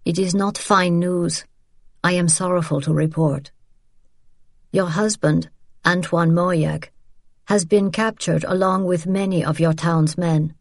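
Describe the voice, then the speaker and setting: A formal military officer speaks in careful, stilted courtesies, as a woman narrator reads him. His voice sits low, and the sound is dry and close. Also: steady tone, unhurried, dry recording. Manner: stilted, overly polite phrasing. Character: German army captain, man, stiff and formal.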